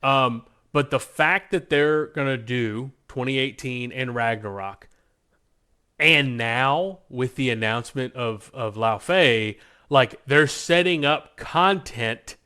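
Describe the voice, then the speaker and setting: A man's voice: mid-range voice, takes it slowly, sharp tone, smooth. An American man co-hosting a podcast in casual, enthusiastic pop-culture chat, speaking emphatically. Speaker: podcast co-host.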